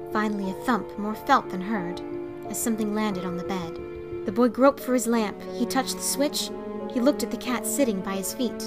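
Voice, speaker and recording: A woman giving a dramatic reading of short horror stories as narrator. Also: mid-range voice. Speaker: story reader. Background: faint music.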